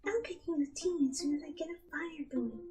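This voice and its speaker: A woman voicing a gentle, timid young female pegasus pony in a soft, quiet, kindly way, with music behind. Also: very high voice, a little fast, slight background noise, pitched above the performer's own voice. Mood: kindly, hospitable. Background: instrumental music.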